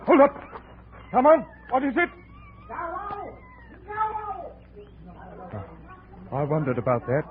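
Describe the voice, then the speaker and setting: A man's voice: sits high, huge pitch swings, brisk. A man playing a hard, bitter jungle guide in a 1950s radio drama, blunt, brooding and authoritative. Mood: watchful. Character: hard, bitter male jungle guide, ten years in Borneo. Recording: muffled, hissy 1950 radio recording.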